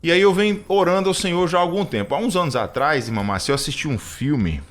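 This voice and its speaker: Brazilian man with an animated, swooping delivery. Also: a little high, quick pace. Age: 30s. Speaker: radio show co-host. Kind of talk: personal testimony in conversational talk.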